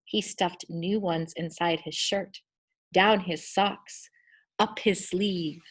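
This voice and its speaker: A woman reading a children's story expressively, with deliberate, dramatic emphasis on her words. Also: fairly low pitch, fairly even intonation.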